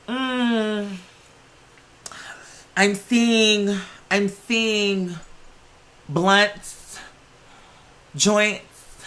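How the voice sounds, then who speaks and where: A comic storyteller putting on a phony male TV psychic who speaks haltingly, with drawn-out, feigned innocence and wonder. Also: mid-range voice, bright, forceful tone. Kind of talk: mocking impression of a psychic reading.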